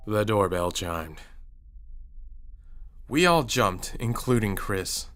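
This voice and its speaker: A man narrating a scripted first-person horror story in a measured storyteller's delivery. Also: lowish voice, lively intonation, a bit bright.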